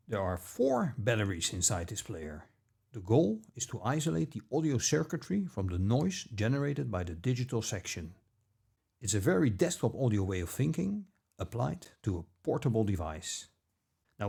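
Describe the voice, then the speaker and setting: Expressive man with a husky, gravelly voice. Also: mid-range voice. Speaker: audio gear reviewer. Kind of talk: scripted review narration.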